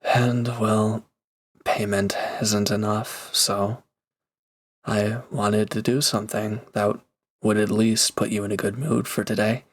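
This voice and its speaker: A man's voice: on the low side, level tone, slow. A man playing a reformed villain turned mercenary in an intimate roleplay audio drama, speaking casually and hesitantly with awkward, earnest gratitude. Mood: sheepish, grateful.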